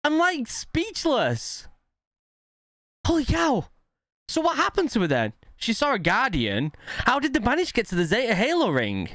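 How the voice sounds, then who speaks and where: A man in his thirties giving casual, excitable reaction commentary into a close microphone. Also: very high-pitched, moderate pace, dry recording. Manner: hyped, exclamatory. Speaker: reaction video host. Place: indoor streaming setup. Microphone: close boom-arm microphone.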